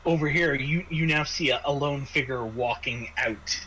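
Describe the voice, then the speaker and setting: A man running a tabletop game as game master, narrating off the cuff in a halting way with repeated words. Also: medium pitch, sharp tone. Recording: noisy home microphone.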